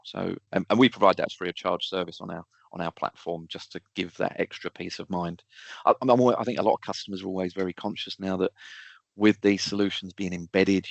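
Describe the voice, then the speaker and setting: A man's voice: low voice, vocal fry, dry recording. A man giving an informal expert explanation in a technical webinar, going step by step with practical examples. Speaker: technical expert answering audience questions.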